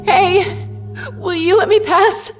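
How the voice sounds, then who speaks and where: A woman playing a frightened but defiant therapist on the run in an audio drama, anxiously repeating herself in breathy fragments. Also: very high voice, fairly even intonation, takes it slowly, over music. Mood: desperate.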